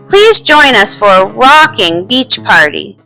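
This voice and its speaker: A woman's voice: on the high side, big pitch swings, slow pace, creaky, pushed and bright, full character voice, low-quality recording. A woman voicing an earnest, bubbly young pony princess and pop star in a cartoon comic dub. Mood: bright, bossy. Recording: faint music behind.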